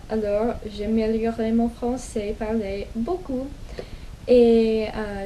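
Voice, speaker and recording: Young American vlogger, non-native speaker, unhurried and halting, soft warm voice. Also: female voice, mid-range voice, slight background noise, poor audio. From United States. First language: English. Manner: slow, hesitant, many pauses and restarts. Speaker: language vlogger. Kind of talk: casual personal storytelling in learned French.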